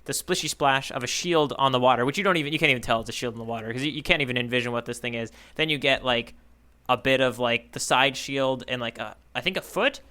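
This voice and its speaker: A man's voice: medium pitch, fast, pushed and bright. A man co-hosting a podcast in casual conversation, reflective and rephrasing his thoughts as he goes. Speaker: podcast co-host.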